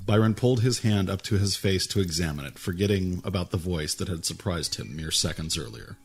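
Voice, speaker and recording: A man reading a fantasy story aloud in a calm, even way, with a husky voice. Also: sits low, a little fast. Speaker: narrator. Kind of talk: storybook narration.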